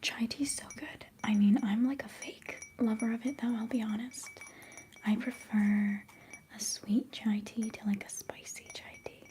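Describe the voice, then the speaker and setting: A young woman whispering softly and chattily during a live ASMR stream, giggling now and then. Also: on the high side, fairly even intonation, unhurried, slight background noise. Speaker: livestream host.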